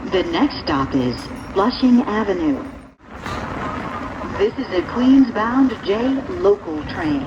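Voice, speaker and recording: Female transit announcer, formal and deliberate, lively intonation, heard through a train PA in a dull recording. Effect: train public-address speaker. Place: inside a subway train, over speakers. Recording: dull, noisy recording inside moving train. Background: rumbling train noise.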